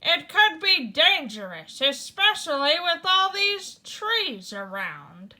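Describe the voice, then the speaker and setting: A woman's voice: very high-pitched, sing-song, takes it slowly, pushed and bright, voice pushed up, exaggerated voice. A woman doing a goofy cheerful male detective. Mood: earnest.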